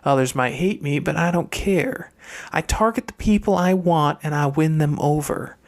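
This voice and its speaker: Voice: medium pitch, moderate pace, lightly acted. A reader voicing a calculating young girl from a wealthy family in an audiobook, airy and pointed with a haughty disdain. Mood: confident, proud.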